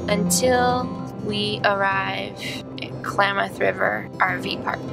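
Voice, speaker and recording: A woman's voice: medium pitch, fairly even intonation, slow pace, creaky. A middle-aged American woman casually narrating a family travel vlog from inside a moving vehicle. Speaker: vlogger parent filming family road trip. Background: loud music, vehicle sound.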